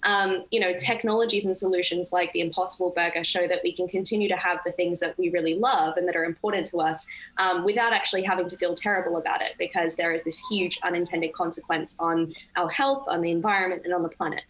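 A young woman who grew up in Indonesia talks thoughtfully on a video call. Her voice sits fairly low and she pauses on ums. It sounds like a laptop webcam in a home room. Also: steady tone, a bit bright, poor audio. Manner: thoughtful, articulate, frequent ums. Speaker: panelist. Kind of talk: panel discussion on video call.

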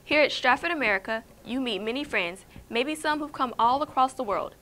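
A teenage girl anchor with a bright, slightly high voice, reading scripted announcements in an upbeat, crisp way. She sounds polished and presenter-like, as if in a small school studio. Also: lively intonation, fairly quick. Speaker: student news anchor.

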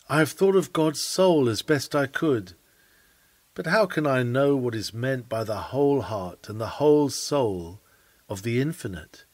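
A man reading an old sermon aloud in an oratorical preaching style, solemn, measured and emphatic. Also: mid-range voice, no echo. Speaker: sermon reader.

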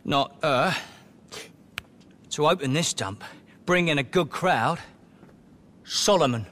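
Cocky english dj booker in a video game, slangy and laid back, highish voice. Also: male voice, animated, slow pace, a bit bright. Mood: confident, scheming. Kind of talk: video game cutscene acting.

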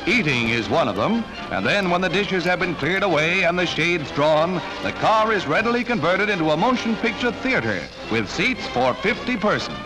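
High-pitched, animated 1940s American newsreel announcer. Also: male voice, poor audio. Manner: formal, declamatory announcer delivery. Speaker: newsreel narrator. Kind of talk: scripted 1940s newsreel narration. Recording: 1940s newsreel soundtrack with music.

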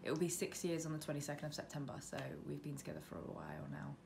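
Female vlogger, mid-20s, British, low register, informal and self-deprecating chat, recorded by a window with light road noise. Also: sing-song, rapid-fire, gravelly. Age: mid-twenties. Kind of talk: casual chat to camera, Q&A. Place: indoors, bedroom at a window facing a road. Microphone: camera on windowsill, about arm's length. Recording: noisy room by a busy road. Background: noise, passing traffic.

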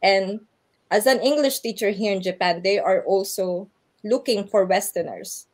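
A woman's voice: medium pitch, normal speed, darkish tone. A woman with a Filipino accent speaks through a video call. She gives earnest, practical advice in a mid-range voice and laughs now and then between points. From Philippines. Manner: earnest, advising, laughs often. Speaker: guest speaker, English teacher in Japan. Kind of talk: online orientation interview answers.

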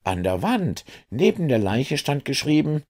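A man reading an audiobook, voicing an agitated police inspector in a husky, animated voice. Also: on the high side, unhurried, pitched above the performer's own voice, full character voice. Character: gruff, agitated middle-aged male police inspector.

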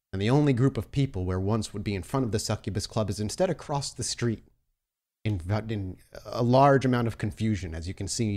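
A man's voice: fairly low pitch, a little mellow. A man narrating a tabletop roleplaying game as storyteller, setting scenes in descriptive detail.

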